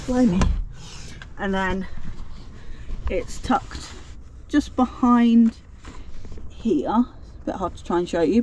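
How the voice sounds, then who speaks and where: A young British woman talks through a hands-on job in a chatty, joking way. Her pitch is middling for a woman. The recording has clear background noise, made in and around a car on a street. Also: mellow. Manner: chatty, jokey, self-deprecating, playful slang. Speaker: vlogger presenting a car DIY guide. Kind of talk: casual hands-on tutorial. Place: residential street, outside and inside a car. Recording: noisy outdoor car recording.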